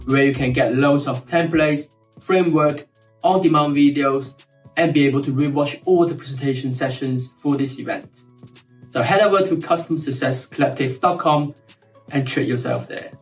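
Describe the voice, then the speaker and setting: A man's voice: a little high, normal speed, husky, soft background music. A man hosting an online conference, introducing speakers in an upbeat, announcer-like way. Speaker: event host.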